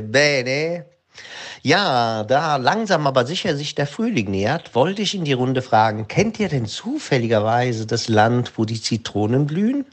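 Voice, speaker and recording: A man from Sicily with an Italian accent, giving a prepared travel recommendation as a voice message in a flowery, theatrical manner. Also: medium pitch. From Sicily, Italy. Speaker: guest contributor sending a voice message.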